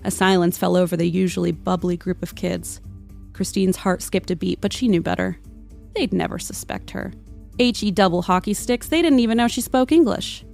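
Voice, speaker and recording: A woman's voice: lowish voice, moderate pace, creaky, a little mellow. A woman narrating a scripted comedic tale in a mock-dramatic, literary manner. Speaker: podcast storyteller. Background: music under the voice.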